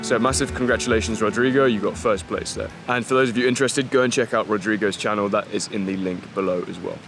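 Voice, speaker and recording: A man's voice: lowish voice, fast talker, a bit bright, soft background music. A man vlogging casually to camera, enthusiastic and slangy with lots of fillers. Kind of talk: casual vlog talk to camera. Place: outdoors in a city park.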